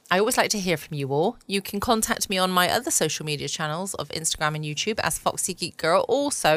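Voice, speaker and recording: Lower-voiced southern English woman, close and dry. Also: fairly bright tone, no room sound. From United Kingdom. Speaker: podcast host. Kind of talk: casual bedtime chat.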